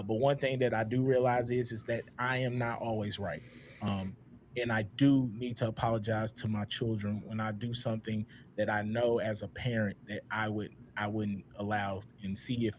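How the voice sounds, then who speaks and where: A low-voiced man in his thirties leads a relaxed panel discussion. He talks in a reflective, loose way, full of "uh"s and "all right?" checks. There is a faint hiss of noise behind him. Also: moderate pace. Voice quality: loud voice. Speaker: podcast host.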